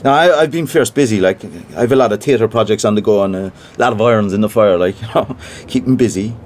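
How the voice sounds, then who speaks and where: A man's voice: lowish voice, quick pace, darkish tone, a little hiss or hum, lightly acted. An actor playing a gossipy, self-important small-time theatre man with an Irish Cork accent, chatty and boastful in a naturalistic audio drama. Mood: nosy, casual.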